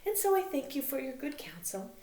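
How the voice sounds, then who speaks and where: A young noblewoman undone by grief, played by a woman reading aloud, her voice rising high and swooping wildly between laughter and tears. The line breaks into sobbing on a singsong lilt. The room rings with echo. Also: sing-song, brisk, warm tone, slight background noise. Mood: tearful, singsong.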